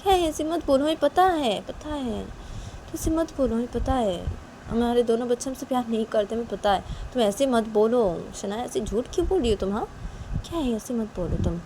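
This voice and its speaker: A woman as a chiding, high-voiced disbelieving father. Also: lively intonation, a little fast, warm tone, rough recording. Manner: repetitive, chiding. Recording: clear background noise.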